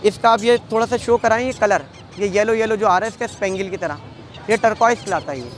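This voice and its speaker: A young man, a bird seller, pitching his birds in eager, quick patter to a vlogger at an outdoor market on a handheld mic. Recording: noisy crowded outdoor market. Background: crowd chatter.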